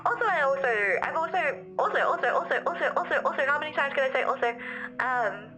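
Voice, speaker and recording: Bright, giggly Australian woman. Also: sits high, animated, quick pace, soft background music. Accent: softened city Australian. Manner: rambling, giggly, many fillers. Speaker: livestreamer. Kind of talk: casual chat reading viewer comments.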